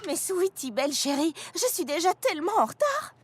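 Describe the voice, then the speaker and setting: A woman's voice: very high-pitched, big pitch swings, fairly quick, loud music behind the voice. A woman voicing an elegant, kindly dramatic big-sister pony in a French cartoon dub, with refined, theatrical diction. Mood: flustered.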